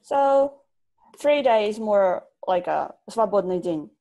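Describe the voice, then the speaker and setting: A woman from Ukraine teaching English in an online lesson, explaining vocabulary patiently and clearly. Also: mid-range voice, lively intonation, takes it slowly, dark tone. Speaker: English tutor. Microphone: video call.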